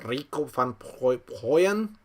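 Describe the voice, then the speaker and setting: A man around forty giving live bridge commentary as a coach, thinking aloud in an analytical, unhurried way. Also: medium pitch, animated, darkish tone. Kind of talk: live card game commentary.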